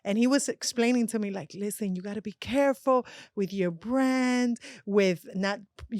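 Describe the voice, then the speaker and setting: Casting note: woman guest with a Spanish accent, lively and emphatic, mid-pitched, dry close sound. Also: no room sound. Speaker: guest, actress and director. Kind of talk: casual interview chat.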